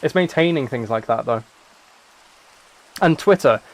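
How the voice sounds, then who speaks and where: A young British man chatting casually as he streams a game, rambling and thinking out loud as he goes. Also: mid-range voice, expressive, measured pace, a little hiss or hum, low-quality recording. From United Kingdom. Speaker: live streamer.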